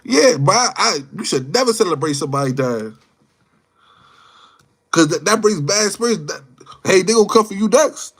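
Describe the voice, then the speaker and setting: Male livestreamer, mid-twenties, high-pitched voice, off-the-cuff slangy talk that loops back on itself. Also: expressive, moderate pace, long pauses, a bit bright, husky. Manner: slangy, rambling, repeats phrases and words. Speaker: livestream host chatting with viewers. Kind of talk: casual live chat, off-the-cuff opinion.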